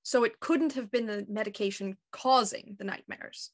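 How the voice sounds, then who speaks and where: A probing lady inspector played by a woman with a slightly high voice. She puts her questions formally and with measured courtesy, in the style of a radio detective drama. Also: lightly acted. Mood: inquisitive. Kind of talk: audio drama acting.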